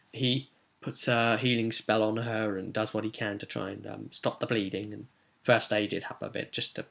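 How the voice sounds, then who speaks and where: A man's voice: fairly low pitch, gravelly, bright, forceful tone. A man with a British accent casually recounting a tabletop role-playing session as game master, chatty and explanatory, with asides to the viewer.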